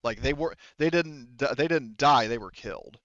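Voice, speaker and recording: Male game master, smooth clear tone, informal and sweary, dry but slightly dull recording. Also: medium pitch, animated, normal speed, fairly bright tone, dry recording. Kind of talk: casual improvised tabletop game narration.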